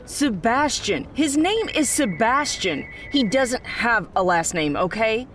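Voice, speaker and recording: A woman's voice: on the high side, sing-song, slow pace, fairly bright tone, a little hiss or hum, heavily acted. A woman playing a fierce, distrustful, determined character in an audio drama, blunt and confrontational. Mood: angry, accusing.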